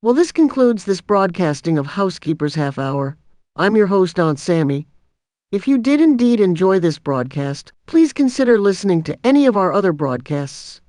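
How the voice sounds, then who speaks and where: A woman's voice: lowish voice, sing-song, normal speed, mellow, no echo, clean studio sound. A woman playing a prim 1920s housekeeping host on the radio, reading scripted advice in a formal, instructive way through an old radio filter. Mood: polite sign-off. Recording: dull, lo-fi old-radio sound.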